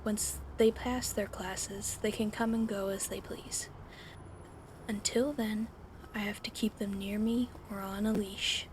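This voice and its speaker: A woman whispering an ASMR roleplay in a soft, soothing, coddling way over an audible fan hum. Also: mid-range voice, fairly even intonation, unhurried, rough recording.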